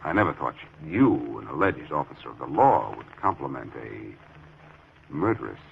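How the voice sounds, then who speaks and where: Deep-voiced, wry American radio detective. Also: male voice, sing-song, unhurried, creaky, slight background noise, full character voice. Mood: coolly accusing. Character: confident wry amateur detective, unmasks killers with cool sarcasm. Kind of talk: 1940s radio mystery acting. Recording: muffled, dull 1940s radio recording.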